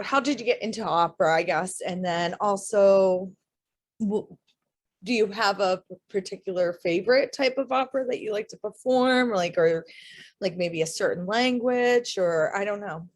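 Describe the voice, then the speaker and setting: A woman's voice: mid-range voice, normal speed. A woman in an online meeting asking questions as an audience member, chatting casually in a hesitant, rambling way. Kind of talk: casual chat.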